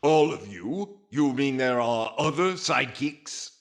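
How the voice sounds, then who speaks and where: A man's voice: mid-range voice, animated, unhurried. A man playing a snarky, officious tax agent with a prissy, sarcastic manner in a 1940s radio comedy. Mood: mock polite.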